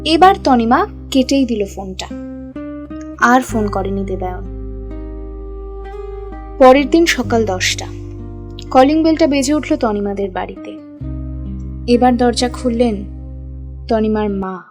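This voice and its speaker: A woman reads scripted narration for an audio story. Her voice sits a little high, with light music playing behind her. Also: expressive, takes it slowly, long pauses.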